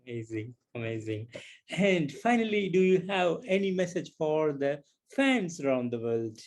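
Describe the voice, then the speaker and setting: A man's voice: a little high, sing-song, moderate pace. A man conducting a casual music interview, encouraging the guest with short repeated affirmations.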